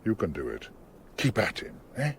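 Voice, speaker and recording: English gentleman barrister, theatrical, commanding, witty, animated pitch, radio play. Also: moderate pace, slightly rough, exaggerated voice. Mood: confiding, wry. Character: formidable, witty gentleman barrister, a doting but teasing father. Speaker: actor playing a defence barrister. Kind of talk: radio drama acting.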